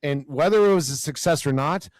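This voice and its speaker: A man's voice: on the high side, brisk, dry recording. Earnest growly-voiced man, dry close sound. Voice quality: growly, loud. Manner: earnest, repeats words while searching. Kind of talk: casual faith conversation.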